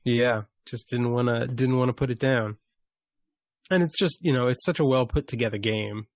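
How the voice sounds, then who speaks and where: A man's voice: medium pitch, moderate pace, no room sound, clean studio sound. A man co-hosting a gaming podcast, chatting casually in an understated, matter-of-fact way. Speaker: podcast co-host.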